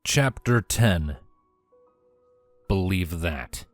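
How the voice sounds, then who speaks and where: A man's voice: low voice, lively intonation, drawn-out words, no echo, faint music behind. A man reading scripted story narration as the narrator of a fan fiction.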